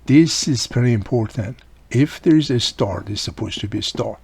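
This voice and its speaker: A man's voice: mid-range voice, expressive, moderate pace, darkish tone, breathy edge. A man giving a casual, hands-on repair walkthrough, explaining informally step by step as he works. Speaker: radio repair hobbyist presenting his own how-to video.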